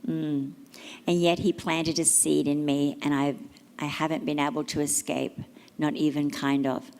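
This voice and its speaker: Low-voiced woman reading in a reverberant hall. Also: little pitch movement, measured pace, a little mellow. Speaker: reader of family tributes at a memorial service. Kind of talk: reading written tributes aloud. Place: memorial service venue, large room.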